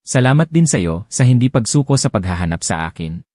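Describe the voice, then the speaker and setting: A man voicing a loving, grateful wife and mother, speaking softly and from the heart. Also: medium pitch, very animated, brisk, no echo, studio quality.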